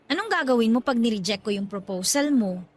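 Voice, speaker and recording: A young girlfriend in a radio romance, high voice, kinda coy and understanding. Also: animated, fairly quick. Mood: teasing. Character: young girlfriend, hesitant yet teasing and understanding. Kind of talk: radio drama dialogue.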